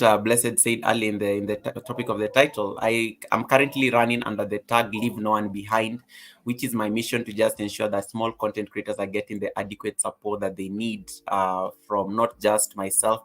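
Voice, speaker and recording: A middle-aged man with a Kenyan accent speaks in a fairly low voice. He talks casually as a guest on a livestream panel. His delivery is chatty, with playful asides. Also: fairly bright tone. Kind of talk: casual self-introduction on a livestream.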